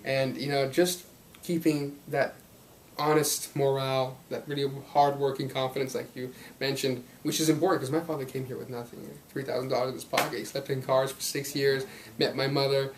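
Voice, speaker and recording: A man's voice: medium pitch, flat delivery, a little fast, slight background noise, rough recording. A young man in his twenties, raised partly in Belgium, talking about his acting career as a guest in an interview. He speaks in an upbeat, rambling way, laughing often. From Belgium and Los Angeles. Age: 20s. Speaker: actor guest. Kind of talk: casual interview talk.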